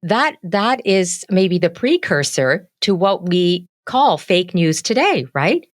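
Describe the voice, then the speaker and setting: A woman with a lowish voice hosts a podcast interview, asking conversational questions. Her voice is recorded dry and close, with no room sound. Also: very animated, slow, dry recording. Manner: conversational, encouraging listener sounds. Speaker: podcast host.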